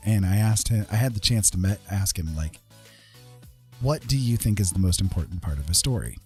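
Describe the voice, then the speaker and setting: A man with a husky voice chatting casually as he paints on a live stream, rambling and self-deprecating, often checking that listeners follow. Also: lowish voice, darkish tone. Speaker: artist and writer hosting a live stream.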